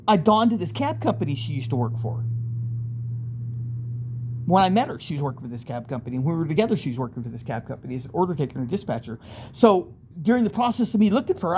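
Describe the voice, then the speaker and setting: A man's voice: a little high, darkish tone, slight background noise. A middle-aged American man telling personal stories casually, in a rambling, candid way with street slang. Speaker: storyteller, channel host. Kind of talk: casual first-person storytelling monologue.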